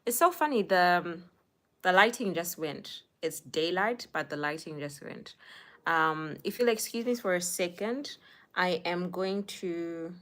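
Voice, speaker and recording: A woman's voice: on the low side, animated, a little slow, fairly bright tone. A young woman from Zimbabwe hosting a casual livestream from home on her phone, greeting viewers by name and chatting with frequent laughs. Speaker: livestream host. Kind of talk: casual live chat reading viewer comments. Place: indoors at home.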